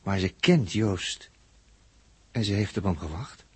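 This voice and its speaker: An actor playing an excitable, brooding spaceship crewman who stammers and searches for words in a 1960s radio drama. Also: sits low, unhurried, slightly rough, dry recording, heavily acted. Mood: searching, murmuring.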